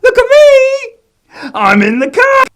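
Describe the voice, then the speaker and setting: A man voicing a wildly excited young boy who squeals and shrieks with glee. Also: extremely high pitch, takes it slowly, voice pushed up, heavily acted. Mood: gleeful.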